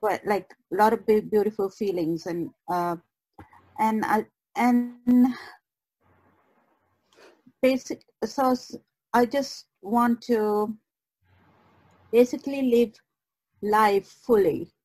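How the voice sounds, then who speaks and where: A woman guest in a reflective podcast conversation on a video call, speaking haltingly and searching for words. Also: mid-range voice, a little mellow, low-quality recording. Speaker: guest artist.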